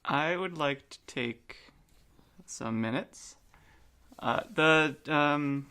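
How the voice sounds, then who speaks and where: A woman playing a tabletop game, describing moves casually in a halting, deliberate way. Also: sits low, bright, forceful tone.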